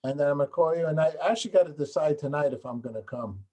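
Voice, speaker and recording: A man interviewing a guest in a casual phone chat, rambling and joking as he thinks out loud. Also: on the high side, racing. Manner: chatty, rambling, jokey. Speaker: interviewer, host of peace concert show.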